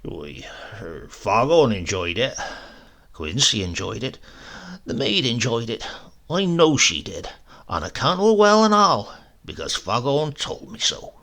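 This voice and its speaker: A man voicing a gruff, unrepentant old countryman who tells tall tales in thick rustic speech. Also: medium pitch, very animated, a little slow, a bit bright. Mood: gruff defiant. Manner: dialect-heavy, crusty, grumbling. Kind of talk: storybook reading.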